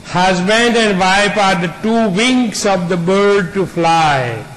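A man with a noticeably high voice gives a spiritual teaching at a very slow, deliberate pace. He speaks earnestly, stacking up repeated lists of words. A faint hiss of background noise runs under him. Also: a bit bright, poor audio. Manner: unhurried, builds long repeated lists. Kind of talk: spiritual discourse to an audience.

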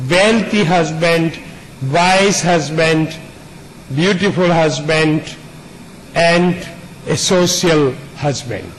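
A man with a noticeably high voice gives a spiritual teaching at a very slow, deliberate pace. He speaks earnestly, stacking up repeated lists of words. A faint hiss of background noise runs under him. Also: poor audio. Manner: unhurried, builds long repeated lists. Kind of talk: spiritual discourse to an audience.

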